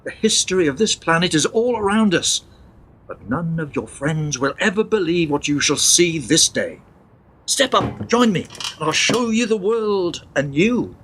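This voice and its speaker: A man's voice: a little high, lively intonation, unhurried, a bit bright, slight background noise, poor audio. An older man playing an eccentric old grandfather and inventor in a radio play, wry, teasing and theatrically portentous. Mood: grand, mysterious.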